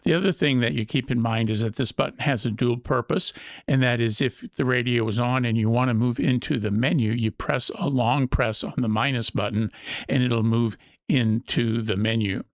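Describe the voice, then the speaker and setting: A man's voice: medium pitch, normal speed, no room sound. Man giving a dry, close-sounding gadget walkthrough. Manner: methodical, explanatory. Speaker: gadget review host. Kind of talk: unscripted product walkthrough.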